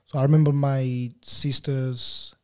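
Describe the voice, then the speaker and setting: A man's voice: mid-range voice, little pitch movement, measured pace, darkish tone, clean tone. A young man, a Portuguese native raised in Portugal after an Angolan birth, telling his story in casual interview chat with many fillers and restarts. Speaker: guest photographer.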